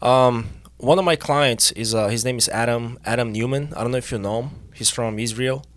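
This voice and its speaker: Young guy hosting a podcast, lively, mid voice, dead dry sound. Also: mid-range voice, no room sound. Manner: lively, loud greetings, halting English. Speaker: podcast host. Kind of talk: casual interview chat.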